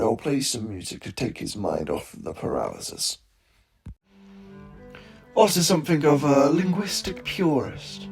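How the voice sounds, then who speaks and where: Man acting a sadistic robot villain, theatrical, menacingly polite, slow, gravelly, music behind, comic dub. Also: medium pitch, darkish tone. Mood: mockingly genteel. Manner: theatrical, menacingly polite, soliloquizing, dropping to whispers. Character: sinister, eloquent, sadistic robot torturer leading a hit squad.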